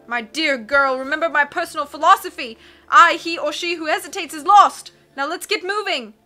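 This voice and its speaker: A woman voicing a loud, excitable male sea captain who fires off rhetorical questions and punctuates them with "aye.". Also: high voice, moderate pace, soft background music, voice pushed up. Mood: urgent.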